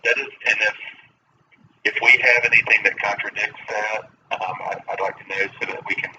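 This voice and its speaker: A man speaking as a human resources official on a phone call, halting and careful, with polite phrasing. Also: on the low side, fairly even intonation, sharp tone. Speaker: school human resources director. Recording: distant, dull, noisy phone line.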